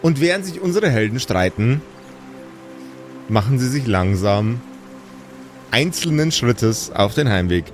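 A man narrating an improvised fantasy tale as game master, in a measured, descriptive and savouring manner. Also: mid-range voice, lively intonation, soft background music.

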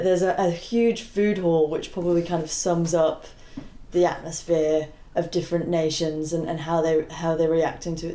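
A woman's voice: lowish voice, dark tone. A woman chatting casually as a reporter on a panel, recounting her impressions. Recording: echoey, roomy sound.

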